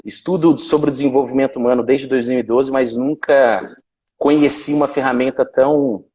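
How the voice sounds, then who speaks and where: A man hosting a live stream, enthusiastic and a bit halting, with many fillers. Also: mid-range voice, fairly even intonation, a little mellow. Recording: long reverb.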